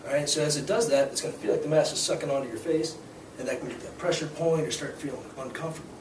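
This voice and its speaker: A hoarse young man teaching a scuba class in a loose, chatty way, full of "um"s and "all right?"s. His voice sits a little high, and it's picked up from a distance in an echoey room with steady background noise. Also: fast. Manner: casual, explanatory, frequent fillers. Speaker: scuba instructor. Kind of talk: hands-on demonstration to a class.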